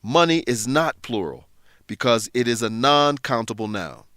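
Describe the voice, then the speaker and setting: A man narrating a scripted English lesson, explaining things in clear phrases for learners. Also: mid-range voice, expressive, moderate pace, fairly bright tone, no echo, clean studio sound.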